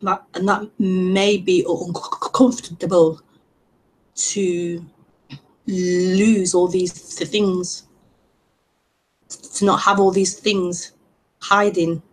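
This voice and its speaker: A woman with a stutter, hosting an online meeting and giving a gentle, reflective spiritual talk with frequent repetitions and blocks. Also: lowish voice, flat delivery, extremely slow, long pauses, low-quality recording. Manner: gentle, reflective, laughs lightly at herself. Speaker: non-duality teacher hosting an online meeting. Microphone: online call broadcast.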